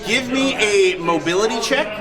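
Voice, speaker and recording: A man acting as game master of a live tabletop roleplaying game, narrating and explaining rules casually in halting, broken phrases. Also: sits high. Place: large indoor convention hall, live event. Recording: live convention hall with crowd noise.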